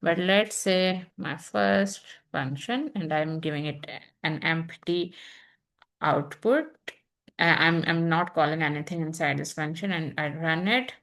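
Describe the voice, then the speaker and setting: Young woman walking thru python code, slow and a bit low, lots of ums and restarts. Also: a bit bright. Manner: unhurried, thinking aloud with fillers and restarts. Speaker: programming instructor. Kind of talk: screen-recorded coding tutorial.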